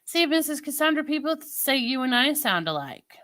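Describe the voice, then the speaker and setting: A woman with a St. Louis accent in casual chat with viewers, talking in a slow, drawling way. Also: high-pitched, big pitch swings, bright, forceful tone. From Midwestern United States. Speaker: streamer chatting with viewers.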